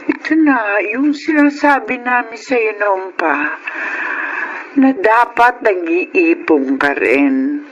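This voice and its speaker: A man playing a gentle, worried Filipino mother who pleads and admonishes in a radio drama. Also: high-pitched, highs cut off, full character voice. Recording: faintly noisy radio drama recording.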